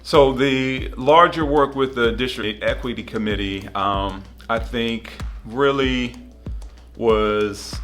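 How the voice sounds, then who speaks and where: A man's voice: fairly low pitch, a bit bright. A man who leads an equity committee, thinking aloud in an interview with many restarts.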